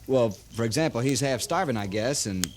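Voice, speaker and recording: Actor as an animated, deferential cavalry subordinate. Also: male voice, medium pitch, normal speed, lightly acted. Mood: uneasy, apologetic. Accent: American. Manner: hesitant, deferential. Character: deferential, evasive cavalry subordinate reporting to his captain. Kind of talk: 1950s Western radio drama acting. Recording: faintly noisy 1950s radio recording.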